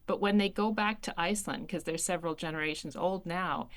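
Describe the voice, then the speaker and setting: A woman's voice: on the low side, fairly quick, fairly bright tone. A woman from Canada speaking as a roundtable guest, chatty and full of "like"s. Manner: chatty, many "like"s. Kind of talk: roundtable discussion.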